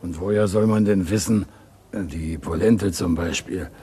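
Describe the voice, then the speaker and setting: A man acting a wary, streetwise small-time burglar in a German radio crime drama, laconic and slangy, with a gravelly, raspy voice. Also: sits low, measured pace, dark tone.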